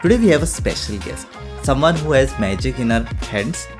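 A man's voice: medium pitch, lively intonation. Male podcast host, eager and upbeat, mid-range voice over faint background music. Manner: enthusiastic, fills pauses with short acknowledgements. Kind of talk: podcast interview.

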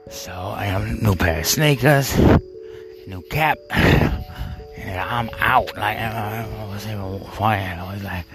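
A man's voice: lowish voice, slow pace. A man telling a rambling personal story, full of fillers, restarts and bursts of laughter at himself. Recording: music playing behind.

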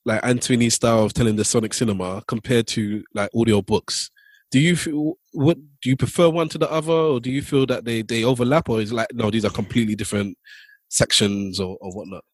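Rambling man with a slightly husky voice. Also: mid-range voice, steady tone, fairly quick, dry recording. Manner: rambling, repeats himself. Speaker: podcast co-host. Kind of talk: casual chat.

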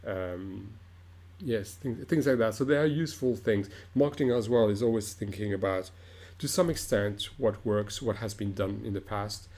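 A middle-aged man from Morocco with a fairly low voice talks in a reflective interview. He pauses, restarts and fills gaps with "um" as he gathers his thoughts. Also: darkish tone. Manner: thoughtful, halting, many restarts and fillers. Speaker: opera director, guest.

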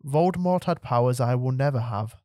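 Gentle elderly wizard, mild and unhurried, mid-pitched, as a man reads him. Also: dry recording, clean studio sound, lightly acted. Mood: calm.

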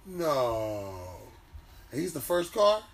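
A man's voice: fairly high pitch, big pitch swings, slow pace. An American man co-hosting a podcast with playful, slangy banter. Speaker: podcast co-host. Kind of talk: casual banter. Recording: slightly distant mic, faint noise.

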